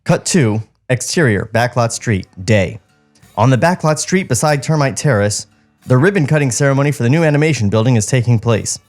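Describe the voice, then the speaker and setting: A man with a medium-pitched voice reads a screenplay's stage directions as a podcast co-host. He slips in sarcastic asides, with faint music behind him. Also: lively intonation, fairly quick, a little mellow. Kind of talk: script narration with sarcastic asides.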